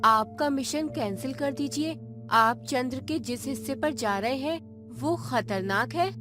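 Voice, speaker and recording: A woman's voice: sits high, a little slow, music in the background. A woman playing a bold, determined woman scientist in a dramatized space adventure story. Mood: resolute. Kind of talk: dramatized Hindi audio story dialogue.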